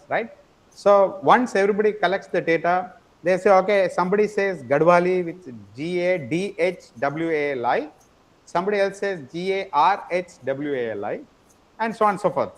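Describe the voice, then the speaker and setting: A man teaching an online class, explaining step by step, often pausing with "uh" and asking "right?" to check in with his students. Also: high voice. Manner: explanatory, frequent tag question "right?"; repeats words and hesitates with "uh". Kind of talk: online lecture.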